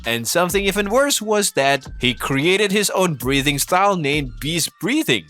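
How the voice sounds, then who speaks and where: Animated, bright-voiced male video narrator. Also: sits high. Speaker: narrator of an anime commentary video. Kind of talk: scripted video-essay narration. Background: background music.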